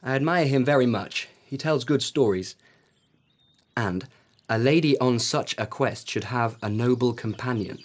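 A man's voice: medium pitch, unhurried, lightly acted. A man playing a whimsical, romantic emperor of old Japan in a radio drama, with a breezy, regal manner. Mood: breezy, eager.

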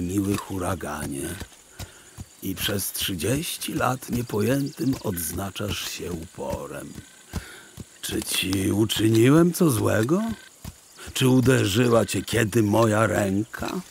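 A kindly but exasperated old man in an audio drama. His voice is low and creaky, swinging widely in pitch. He is wordy and theatrical, given to mock sternness. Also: background noise, exaggerated voice. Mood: gently pleading.

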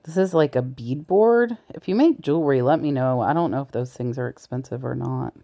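A woman's voice: very deep voice, fairly quick, dark tone. A woman chatting to viewers as she browses the shelves of a large thrift store, filmed with a body-worn camera, sometimes dropping to a whisper. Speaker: thrift reseller vlogger. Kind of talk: casual shop-with-me narration. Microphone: body-worn camera mic, point of view.

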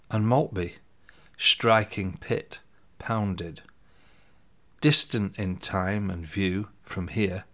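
A man reading his own poems in a measured, deliberate way, pausing between phrases. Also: deep voice. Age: 50s. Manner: deliberate, pausing between short phrases, voice dropping at line ends. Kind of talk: slow, measured poetry reading. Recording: soft music underneath.

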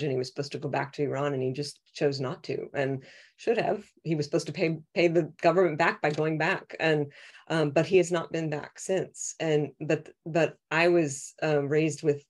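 Middle-aged woman thinking aloud, haltingly. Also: sits low, fairly even intonation, darkish tone. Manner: halting, repeats words while thinking. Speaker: poet, panelist. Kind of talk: reflective, unscripted panel talk. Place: small home room on video call. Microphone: video call microphone.

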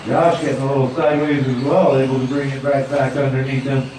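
A man's voice: mid-range voice, fairly quick, heavy reverb, recorded from a distance, background noise. A man giving live play-by-play commentary at an outdoor dirt track. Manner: steady play-by-play calling. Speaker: race announcer.

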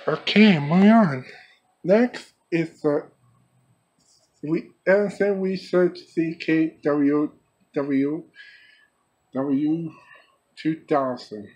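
A man casually showing off gadgets in a halting, repetitive, plain-spoken way, recorded on a handheld camera in a small bedroom. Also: fairly high pitch, a little mellow, husky. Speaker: vlogger showing a weather radio collection. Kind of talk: casual product showcase. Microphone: handheld camera held by the speaker. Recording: noisy handheld camera audio.

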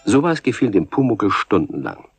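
A man narrating a scripted children's radio play in a husky voice, as a storyteller. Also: on the low side, very animated, moderate pace, dry recording, faint music behind.

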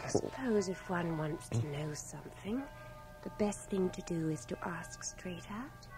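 A forthright and affectionate young woman speaks with lively, expressive pitch. She is teasing and articulate in radio drama style, on a cassette recording with faint music behind. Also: a little slow. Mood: probing, direct. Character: forthright, playful, affectionate young woman, wartime nurse and lover. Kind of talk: 1980 radio drama acting.

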